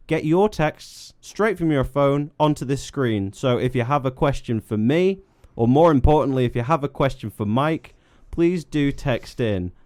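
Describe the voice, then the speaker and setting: A man's voice: medium pitch, darkish tone, smooth. A young man hosting a live local radio show, chatty and self-deprecating, laughing easily with his guest. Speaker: radio presenter. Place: radio studio. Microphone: studio microphone.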